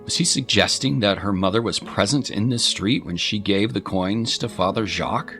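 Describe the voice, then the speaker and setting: A man's voice: fairly low pitch, normal speed, fairly bright tone, faint music behind. A man narrating a scripted dramatic story in the manner of an audiobook.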